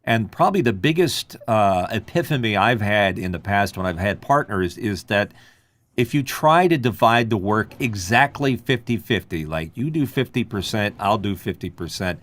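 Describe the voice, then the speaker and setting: A man's voice: fairly low pitch, expressive, moderate pace, a bit bright. A man on an online panel, giving reflective advice in a casual, conversational way. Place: online video call.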